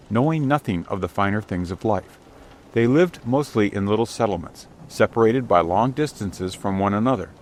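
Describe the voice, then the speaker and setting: A man with a fairly low voice narrates a storybook as an audiobook. The reading is scripted and dramatic. Outdoor ship ambience is clearly heard behind the voice. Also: slight background noise.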